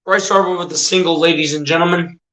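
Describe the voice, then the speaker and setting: A young man calls the game pitch by pitch on his own livestream, breaking off to chat with viewers. His voice is a little rough but bright and fairly high. The sound rings in an echoey room. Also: steady tone, quick pace. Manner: chats with viewers between calls. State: slightly rough voice. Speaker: livestream play-by-play caller. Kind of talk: amateur play-by-play commentary.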